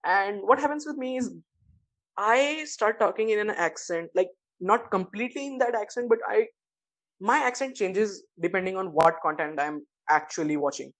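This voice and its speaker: A man's voice: high-pitched, normal speed, fairly bright tone, rough recording. A man from India with an Indian English accent, a native Hindi speaker, hosting a podcast and asking questions in a casual, hesitant way. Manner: hesitant, lots of fillers and restarts, curious. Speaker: podcast host.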